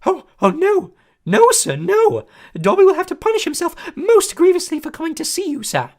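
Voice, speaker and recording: Lady doing a super squeaky little elf guy, begging and sobbing, voice jumping all over. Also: big pitch swings, moderate pace, a little mellow. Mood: earnest pleading. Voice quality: high-pitched squeak. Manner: groveling, wailing, overly formal. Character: tiny servile male house elf, squeaky and tearful.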